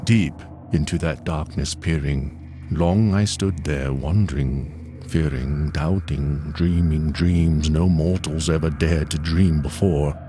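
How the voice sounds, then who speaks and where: A man's voice: very deep voice, takes it slowly, over music. A man playing a grieving, haunted scholar in a theatrical, brooding gothic poetry recitation. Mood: fearful, wondering. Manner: theatrical, brooding, dramatic delivery.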